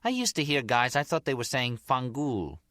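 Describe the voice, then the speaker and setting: Man voicing a sly, brazen gangster's girlfriend, pitched up. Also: big pitch swings, normal speed, sharp tone, no echo, studio quality. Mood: playful. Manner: teasing, streetwise.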